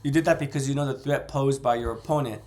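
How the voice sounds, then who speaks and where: A man with a cold reading aloud the steady, reassuring lines of a seasoned, scarred male dinosaur creature from a novel. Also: medium pitch, fast talker, close to an everyday voice. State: congested from a cold.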